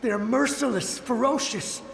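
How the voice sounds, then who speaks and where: A man's voice: very high voice, normal speed, gravelly, a bit bright, a little hiss or hum, full character voice. A man playing a desperate samurai soldier in a video game, speaking in an urgent, pleading, dramatic way. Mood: grim, desperate. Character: desperate samurai soldier, a ghostly memory of war. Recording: echoey, long reverb, music behind.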